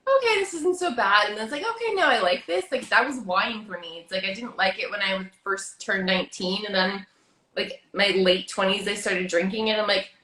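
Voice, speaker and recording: A woman in her thirties hosting a casual interview, chatty and giggly, firing off light quick questions. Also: medium pitch, pushed and bright. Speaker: host. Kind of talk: casual video chat interview. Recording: echoey room.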